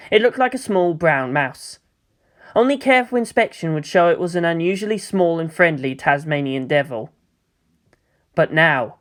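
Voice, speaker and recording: A story narrator reading dramatic action fiction in a measured, expressive way. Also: medium pitch.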